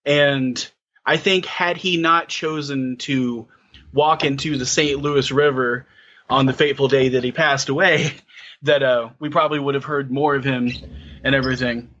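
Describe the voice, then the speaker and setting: A man's voice: medium pitch, fairly even intonation, normal speed, a bit bright, highs cut off. A man in his thirties chatting casually on a podcast, hesitant, with many fillers and restarts. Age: 30s. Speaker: podcast co-host. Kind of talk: casual chat.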